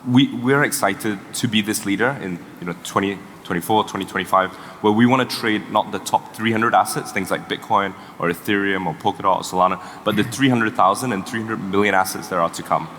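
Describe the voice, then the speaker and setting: Guy from singapore pitching at a fireside chat, low voice, echoey hall, bit of hiss. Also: fairly quick, few pauses, fairly bright tone. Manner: pitching, fluent, stumbles and repeats words. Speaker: startup co-founder. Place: event hall. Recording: reverberant hall with faint noise.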